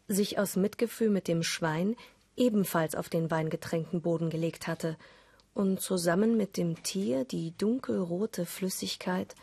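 A woman narrating a radio play, reading scripted dramatic prose in measured storytelling phrases. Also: fairly low pitch, darkish tone, slightly rough, no room sound.

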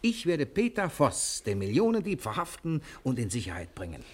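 A cheeky gentleman thief on the run in a radio crime comedy. His voice is mid-pitched and husky, with lively swings of intonation. He coaxes and reassures with easy self-confidence. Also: normal speed. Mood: confident scheming. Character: cheeky, soothing husband, a millionaire thief on the run. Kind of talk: German radio crime-comedy serial acting.

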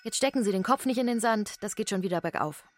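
An actress playing a matter-of-fact hospital doctor in a drama, speaking formally and articulately with firm insistence. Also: female voice, mid-range voice, fast, natural voice. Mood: firmly reasoning. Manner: clinical, articulate, firmly insistent.

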